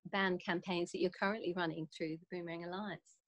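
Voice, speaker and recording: A woman's voice: lowish voice, fairly even intonation, fairly quick, muffled recording. A young woman, politely reading out prepared questions as a panel moderator on a video call. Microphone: video call webcam.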